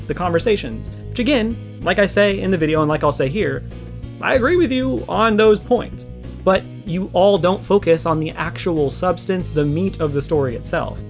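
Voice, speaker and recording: A young man giving conversational, argumentative video commentary, explaining his points and restating them for emphasis. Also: fairly high pitch. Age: young adult. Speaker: video essayist responding to a streamer.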